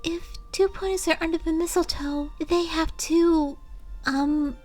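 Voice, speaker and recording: A woman voicing a timid, sweet pegasus pony with a soft, hesitant, halting manner for a storybook reading. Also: very high-pitched, fairly even intonation, pitched above the performer's own voice. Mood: flustered, shy. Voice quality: soft, faint, breathy. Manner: hesitant, shy, halting with ums.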